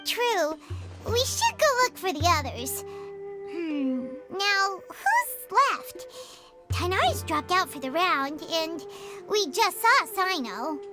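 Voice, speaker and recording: Squeaky, bubbly little childlike companion. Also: female voice, sing-song, slow pace, music in the background, exaggerated voice. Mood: curious, thoughtful. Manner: bubbly, chirpy. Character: tiny, perky, childlike helpful companion. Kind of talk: anime-style video game voice acting.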